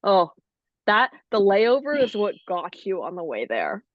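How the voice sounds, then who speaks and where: A woman's voice: mid-range voice, sing-song, measured pace. A young adult woman with an American accent chats casually with a friend as a podcast co-host. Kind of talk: casual chat between friends. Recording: dry but dull, lo-fi call audio.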